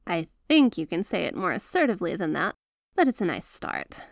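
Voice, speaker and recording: A woman's voice: a little high, a little mellow, dry recording, studio quality. A woman playing a doting, bashful yet possessive young mafia heiress in an intimate ASMR roleplay, speaking to the listener in a hesitant, coaxing way. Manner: hesitant, coaxing, affectionate, halting phrasing.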